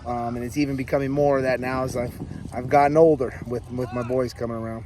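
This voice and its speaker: A man of about forty, a high school coach, giving casual interview answers. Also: fairly even intonation, quick pace, warm tone, a little hiss or hum. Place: outdoors by a sports field. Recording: outdoor field recording with background voices.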